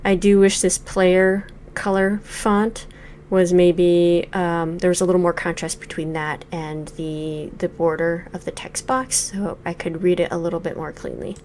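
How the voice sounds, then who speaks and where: A woman's voice: fairly low pitch, flat delivery, a little slow, few pauses, a little mellow, a little hiss or hum. A woman in her forties giving thoughtful, hesitant let's play commentary with many fillers while reviewing a game. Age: 40s.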